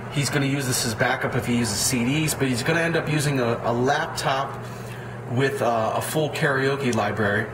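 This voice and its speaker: A man giving an unscripted, plain-spoken, step-by-step tutorial as an audio equipment installer. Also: mid-range voice. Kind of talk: unscripted product tutorial, walkthrough. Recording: echoey room with clear background noise.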